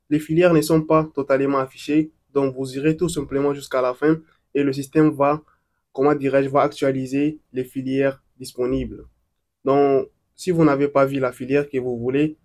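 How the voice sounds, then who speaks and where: A man's voice: mid-range voice, mellow. Man explaining an online form step by step. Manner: plain step-by-step explaining, fillers and restarts. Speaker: tutorial presenter. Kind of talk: screen-recorded walkthrough of an online form.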